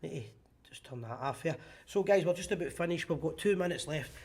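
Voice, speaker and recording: Quick-talking Scottish man hosting a phone-in. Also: fairly high pitch, expressive, crowd noise. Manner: blunt, opinionated, quick-talking. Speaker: host of a fan phone-in show. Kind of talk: live phone-in chat.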